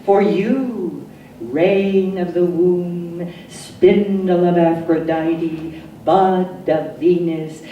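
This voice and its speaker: Slow, echoey woman reciting poetry. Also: on the low side, steady tone, lo-fi sound. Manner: solemn, deliberate, emphatic phrasing with pauses. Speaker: poet reading own work. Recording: echoey, distant mic, noisy room.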